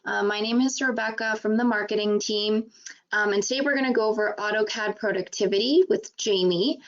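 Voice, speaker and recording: A woman's voice: mid-range voice, steady tone, brisk. A woman from a marketing team opening a live webinar, upbeat and casual with many fillers. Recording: dull lo-fi web audio.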